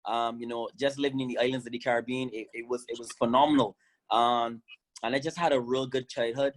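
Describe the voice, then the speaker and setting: A man's voice: mid-range voice, fairly even intonation, brisk. A man in his thirties from Barbados, a guest on a video-call interview, talking with enthusiasm and laughing often. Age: 30s. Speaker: guest, former fast bowler.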